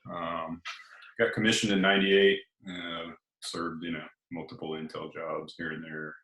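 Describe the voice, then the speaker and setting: A man in his forties speaks as an interview guest in a very low, deep monotone. His delivery is relaxed and hesitant, full of fillers. The sound carries a roomy echo. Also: moderate pace. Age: 40s. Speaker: guest, Air Force officer and author. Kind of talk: casual interview chat.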